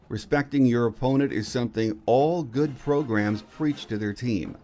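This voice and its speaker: A man's voice: lowish voice, darkish tone. A man in his sixties with an American accent, hosting a sports podcast with introductions and interview questions.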